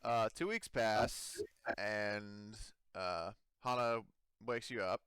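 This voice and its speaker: A man with a fairly low, clean voice leads a tabletop roleplaying game. He improvises the narration in an informal, halting way. The sound is dry, with little room in it. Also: fairly bright tone, clean tone, no echo. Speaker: game master.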